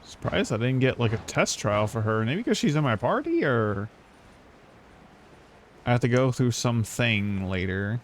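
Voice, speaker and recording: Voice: mid-range voice, lively intonation, a little slow. Casual game streamer, noisy mic. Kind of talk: casual live gameplay commentary. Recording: clearly noisy background with clicks.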